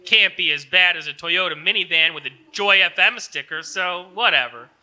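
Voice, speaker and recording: A man's voice: high-pitched, normal speed, pushed and bright. A man co-hosting a live comedy show, reading a script of comments in a snarky way. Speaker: comedy show co-host and performer.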